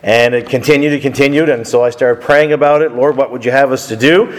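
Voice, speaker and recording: A man in his thirties, hoarse after a camp week, opening a sermon as guest preacher in a friendly, chatty way. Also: mid-range voice, steady tone, fast talker. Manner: friendly, chatty, with frequent fillers. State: hoarse, deeper than usual. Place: church sanctuary. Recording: church hall reverb.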